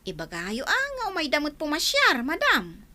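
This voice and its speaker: A woman's voice: high-pitched, wildly swooping pitch, normal speed, bright, forceful tone, full character voice. An actress playing an attentive, inquisitive household helper who coaxes her madam in a radio drama. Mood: concerned, coaxing. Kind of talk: Ilocano comedy-drama radio acting.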